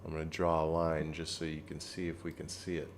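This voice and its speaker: A man giving relaxed live commentary on a pool match, explaining each shot and joking dryly. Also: rumbling low, fairly quick, a little hiss or hum.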